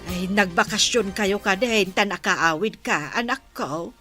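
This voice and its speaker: A woman's voice: mid-range voice, unhurried, bright, forceful tone, soft background music. A woman playing a caring, chatty village mother in a radio-style drama.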